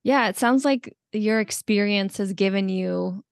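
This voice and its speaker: Slow, reflective woman host. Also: medium pitch, vocal fry, no echo, studio quality. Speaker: podcast host. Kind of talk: interview.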